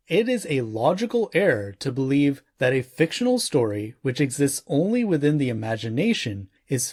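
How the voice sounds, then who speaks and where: A man narrating an audiobook in a measured, formal, explanatory way from a script. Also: mid-range voice, darkish tone, husky.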